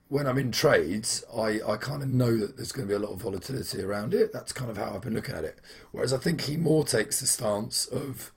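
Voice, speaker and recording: Young male vlogger, very husky hoarse voice, mid pitch, loose rambling delivery. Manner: rambling, many fillers and restarts. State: hoarse from shouting at football. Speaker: trading vlogger. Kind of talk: casual weekly vlog.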